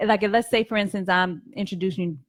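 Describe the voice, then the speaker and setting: A woman's voice: medium pitch, fairly even intonation, quick pace, no room sound. Earnest woman radio host, dry sound. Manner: earnest, emphatic, repeats phrases for stress. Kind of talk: candid casual chat. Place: radio studio.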